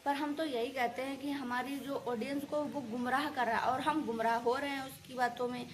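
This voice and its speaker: High-pitched woman in an indignant rant. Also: fairly even intonation, normal speed. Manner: indignant, scolding, repetitive colloquial venting. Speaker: reaction video creator. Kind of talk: casual opinionated rant to viewers.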